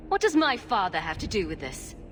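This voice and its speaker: Game lead: resolute, diplomatic adventurer woman, medium pitch, expressive intonation, determined delivery. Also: a little fast, slight background noise. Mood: questioning, firm. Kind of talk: dramatic video game acting.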